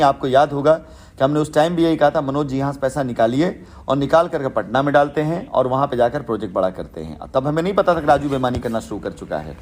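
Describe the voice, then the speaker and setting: A man's voice: on the high side, slight background noise. A man talking as a company boss on a recorded business phone call, rhetorical and repetitive, building points with lists and analogies. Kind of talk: informal business phone conversation.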